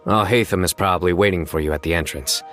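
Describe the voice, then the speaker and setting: An actor playing a stern male officer who speaks in a measured, commanding way. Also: low-pitched, fairly bright tone, soft background music. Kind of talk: video game voice acting.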